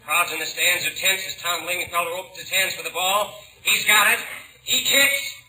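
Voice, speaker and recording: A excitable 1940s radio sportscaster calling plays with urgent drama. His high voice is extremely sharp and forceful. It rings with echo, like an old broadcast. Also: normal speed, recorded from a distance. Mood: excited. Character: excitable radio football play-by-play announcer. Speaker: sports announcer. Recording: echoey, faintly noisy old radio broadcast.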